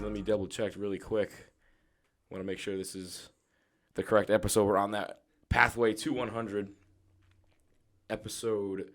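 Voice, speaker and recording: A man's voice: on the low side, a bit bright. A man in his twenties hosting a casual interview podcast, loose and chatty with lots of filler words. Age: 20s. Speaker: podcast host.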